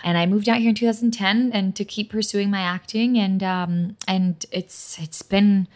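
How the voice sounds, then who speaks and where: A woman's voice: medium pitch, moderate pace. Candid storytelling woman from Massachusetts. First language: Polish. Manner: animated storyteller, candid and warm-hearted. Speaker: podcast guest, actress. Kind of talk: casual podcast chat.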